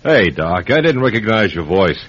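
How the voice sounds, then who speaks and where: A man's voice: on the low side, sing-song, normal speed, a bit bright, breathy edge, heavily acted. A man playing a wry, stubborn, self-assured novelist in a radio drama, speaking in a clipped, emphatic way. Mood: breezy, friendly. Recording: dull, faintly noisy radio recording.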